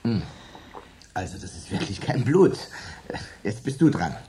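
A jovial dinner guest in a radio play, hearty and self-assured, with a voice that swings widely in pitch. A faint hiss sits under the recording. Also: male voice, big pitch swings, measured pace, dark tone. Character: jovial middle-aged dinner guest, man, wine lover.

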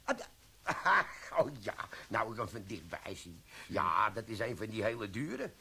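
An actor playing a sly, glib army quartermaster sergeant in a radio play, talking with cheeky, wheedling banter. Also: male voice, fairly low pitch, very animated, unhurried, creaky, pushed and bright, breathy edge, full character voice.

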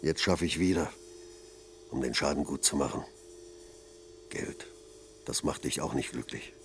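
An actor playing a blunt, patronising middle-aged factory foreman with a gravelly voice in a German radio play. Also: extremely low pitch, measured pace, slight background noise. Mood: regretful, paternal. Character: gruff paternal older factory foreman.

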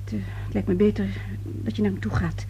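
A woman's voice: medium pitch, level tone, quick pace, gravelly, mellow, lightly acted. An actress playing a stern, practical middle-aged mother in a radio play, curt, probing and reproving. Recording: noisy old radio play with hum.

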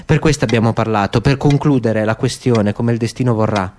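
Radio play role: male actor as an earnest old woman, resolute and troubled, middle pitch, faint background noise. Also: brisk, darkish tone, lightly acted. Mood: grave, measured.

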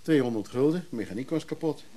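A man's voice: mid-range voice, expressive, brisk, dark tone, close to an everyday voice. An actor playing a chatty, wry middle-aged man who teases his brother in a naturalistic radio play. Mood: chatty, amused. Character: teasing, chatty middle-aged brother named Freek, recovering drinker.

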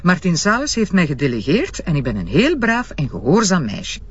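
An actress playing a piqued, sharp-tongued female investigator with a Flemish accent, needling and sarcastic in naturalistic TV drama dialogue. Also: on the low side, wildly swooping pitch, normal speed, slightly rough, dull audio, full character voice.

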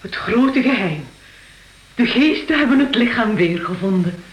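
A woman's voice: a little high, expressive, unhurried, reverberant, low-quality recording. An actress playing a gracious, mysterious old lady of a manor in a radio play, with a motherly, commanding manner. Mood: warm, insistent. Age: older.

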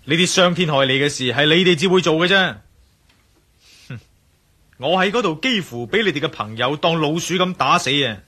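A man's voice: on the high side, expressive, brisk, pauses often, pushed and bright. A man playing a bold, suspicious adventurer in a radio drama, speaking Hong Kong Cantonese in a commanding manner. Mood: threatening, commanding.

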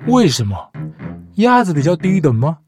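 A woman's voice: lowish voice, big pitch swings, normal speed. A woman hosting a comic storytelling show, lecturing in a scripted, argumentative way and ending her points with rhetorical questions. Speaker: storyteller host. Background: background music.

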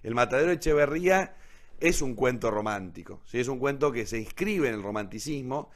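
Argentine guy giving an informal lit talk, teacherly, repeats key points, keeps checking you follow. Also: medium pitch. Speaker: literature presenter on a radio theatre programme. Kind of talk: informal explanatory lecture with check-in questions.

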